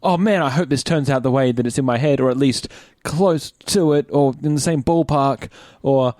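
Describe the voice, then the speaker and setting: A man's voice: mid-range voice, moderate pace, darkish tone, no echo, studio quality. An Australian man hosting a casual podcast chat, talking in an informal, stop-start way. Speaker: podcast host and actor.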